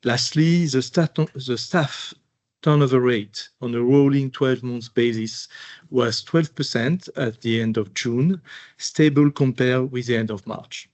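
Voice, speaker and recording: Male finance chief, mid-pitched, formally reading prepared results. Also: a little slow, darkish tone. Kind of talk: scripted earnings presentation.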